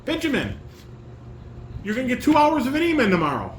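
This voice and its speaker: A man's voice: very high voice, huge pitch swings, brisk. A man casually coaching a rehearsal as a father would, reading stage directions aloud and giving corrections. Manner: instructing, repeats and corrects lines. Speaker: father directing a home table read. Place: echoey room at home with fan and air conditioner. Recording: roomy echo, fan and air conditioner noise.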